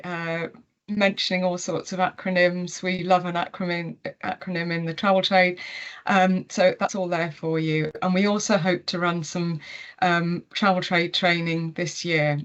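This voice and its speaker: A woman giving a webinar presentation on a video call, steady and pausing with frequent ums. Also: fairly low pitch, clean tone, highs cut off. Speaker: tourism marketing presenter.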